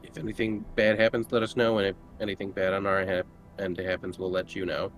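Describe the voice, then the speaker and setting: Low-voiced man in casual game chat. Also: flat delivery, brisk, soft background music. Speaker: tabletop game player. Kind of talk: casual group discussion. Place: online voice call.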